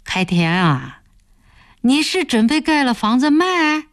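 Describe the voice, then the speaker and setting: Soft-spoken young village wife, read by a woman. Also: medium pitch, moderate pace, slightly rough, no echo, studio quality. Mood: gentle, curious. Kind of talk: audiobook character voice.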